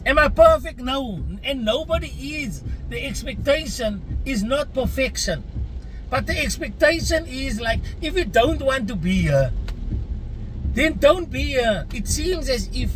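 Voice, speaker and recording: Man, Afrikaans-first South African accent, earnest vlog rant, high voice, road noise behind. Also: animated, measured pace, a bit bright, low-quality recording. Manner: earnest, chatty, addresses viewers as guys. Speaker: vlogger. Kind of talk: casual opinion rant to camera.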